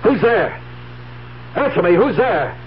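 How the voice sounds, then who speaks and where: A man's voice: sits high, expressive, takes it slowly. An actor playing an alarmed man demanding answers in an urgent, agitated way in a 1970s radio drama. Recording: muffled, noisy old radio recording.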